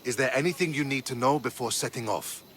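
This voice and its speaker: A man's voice: mid-range voice, fast, a bit bright, a little hiss or hum, close to an everyday voice. A man acting a loyal, diplomatic Spartan soldier in a video game, earnest and respectful. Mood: steady.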